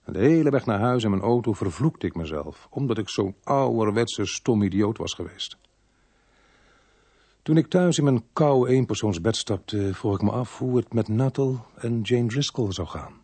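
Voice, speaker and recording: A husky, fairly deep-voiced man in a radio play. He is shy and old-fashioned, speaking in an understated, hesitant way, as someone smitten who blames himself. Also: lively intonation, moderate pace, dark tone. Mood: rueful, reflective. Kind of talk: radio drama acting with first-person narration.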